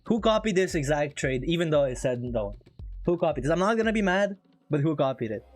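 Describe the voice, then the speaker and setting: Animated male trading streamer. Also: a little high, brisk. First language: not English. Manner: animated, laughs often, reads chat aloud. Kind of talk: casual live stream chat. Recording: faint music behind.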